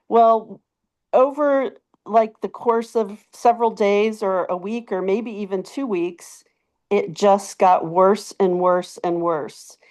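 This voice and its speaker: A woman of average pitch speaks as a participant sharing a testimonial. She tells it like a casual story, in conversational phrases with asides and emphatic repeats. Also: darkish tone. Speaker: webinar participant giving a testimonial.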